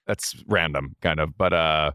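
A young man with a low baritone voice, a guest chatting casually with frequent restarts and fillers. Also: sharp tone, smooth, no room sound, clean studio sound. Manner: halting, many restarts. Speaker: guest, impressionist. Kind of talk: casual chat.

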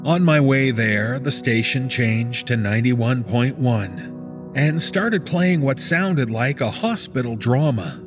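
A man reading a scripted first-person horror story in a measured, deliberate storytelling manner. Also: medium pitch, expressive. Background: background music.

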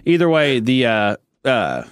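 An American man co-hosting a podcast, telling things in a halting, stammering way in casual chat. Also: mid-range voice, very animated, clear, resonant tone, dry recording, clean studio sound. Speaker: podcast co-host.

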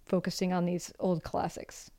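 A woman's voice: lowish voice, completely flat delivery, normal speed, dark tone. A woman co-hosting a podcast, chatting casually and thoughtfully about reading and food, with easy laughs. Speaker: podcast co-host. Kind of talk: casual chat.

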